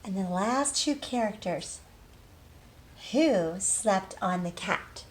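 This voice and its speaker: A woman teaching young children in a read-aloud lesson, asking questions, pausing and drawing out key words for emphasis. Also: medium pitch, lively intonation, slight background noise. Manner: prompts with questions, pauses, affirms answers, drawn-out emphasis on key words. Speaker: early literacy teacher.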